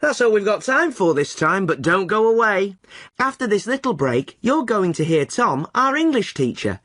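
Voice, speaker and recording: A woman's voice: mid-range voice, very animated, normal speed, fairly bright tone, no echo, clean studio sound. A woman presenting a scripted podcast for learners as its host.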